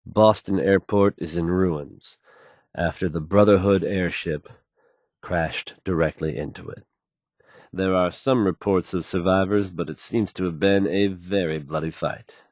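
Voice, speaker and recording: A man's voice: low voice, fairly even intonation, unhurried, darkish tone, dry recording. A man playing an assured, folksy radio DJ in a video game, delivering news bulletins to listeners with fluent, polished ease. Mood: grave, measured.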